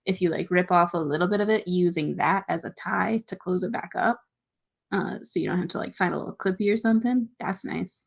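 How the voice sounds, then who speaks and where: Giggly low-voiced woman chatting. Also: fairly quick, vocal fry. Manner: giggly, filler-heavy, animated. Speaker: podcast co-host. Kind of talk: casual chat.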